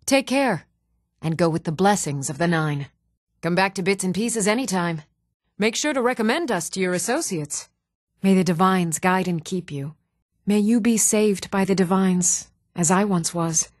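An actress playing an adult townswoman in a fantasy role-playing game, speaking in an even, measured, clearly enunciated way. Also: fairly low pitch, no room sound, clean studio sound. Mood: polite, welcoming. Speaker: video game voice actor. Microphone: close, dry studio microphone.

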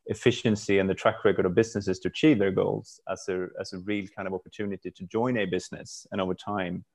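Low-voiced man, reflective podcast guest. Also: level tone, fast talker, vocal fry, darkish tone. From Stockholm, Sweden. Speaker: podcast guest, brand executive. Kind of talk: casual interview talk.

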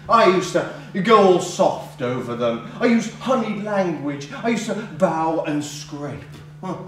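Hot-tempered, mockingly theatrical male bill collector for stage comedy, played by a man; highish voice, recorded at a distance. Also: a bit bright, a little hiss or hum, exaggerated voice. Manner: blustering, ranting, mockingly theatrical. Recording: very echoey room, distant mic.

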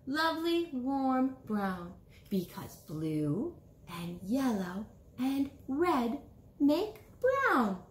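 A woman in her forties doing an animated storytime read-aloud for young children, expressive and sing-song, with drawn-out words. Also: high-pitched. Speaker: children's author reading a picture book aloud. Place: small indoor room, seated before a bulletin board. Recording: roomy small-room echo.

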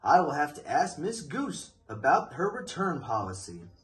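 A man's voice: on the high side, huge pitch swings, normal speed. A man voicing a stern, curt, grumpy male bear in a children's storybook. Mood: dry grumbling.

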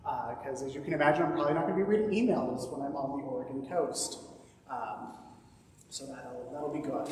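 Joking priest in an echoey church. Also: male voice, medium pitch. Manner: relaxed, joking, rambling. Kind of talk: church announcements. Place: large church sanctuary. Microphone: far camera, handheld mic at times. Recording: echoey hall from far off, noisy.

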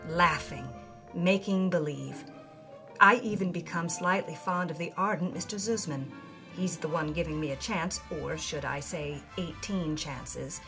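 A woman playing a brash thirty-year-old 1950s B-movie actress, narrating her journal in a wry, breezy way. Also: medium pitch. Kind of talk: scripted narration.

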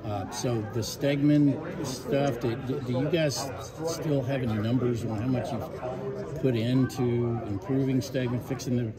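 A man reporter asking halting, hesitant questions. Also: medium pitch, fairly even intonation, recorded from a distance. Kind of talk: press questions. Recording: off-mic amid loud crowd.

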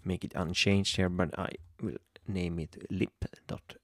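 Swedish guy, low husky voice, gravelly, halting w lots of ums, dry sound. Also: fairly even intonation, no echo. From Sweden. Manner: hesitant, many ums and restarts, nervous. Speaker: software tutorial maker. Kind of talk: screen-recorded software tutorial. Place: home room at a computer.

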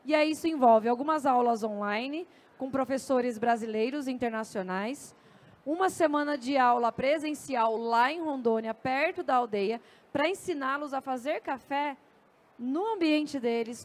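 A woman's voice: high voice, normal speed. A woman with a Brazilian accent giving a conversational, anecdote-filled talk on a festival stage into a handheld microphone. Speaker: journalist and speaker.

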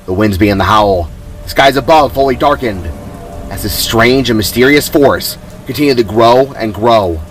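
Animated man narrating a dramatic story. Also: medium pitch, normal speed, soft background music, low-quality recording. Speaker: author narrating own story.

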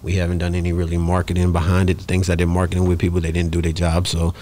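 Male podcast guest, low voice, emphatic and repetitive, casual talk over noticeable background noise. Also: completely flat delivery, very fast, mellow. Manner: emphatic, repetitive, peppers talk with "you know what I'm saying". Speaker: interview guest, rapper. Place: small podcast studio in a shop. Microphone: close studio microphone on stand.

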